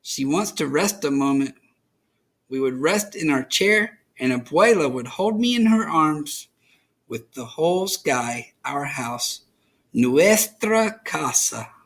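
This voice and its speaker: A man reading a children's picture book aloud as an expressive storyteller, enunciating for young listeners. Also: a little high, slow.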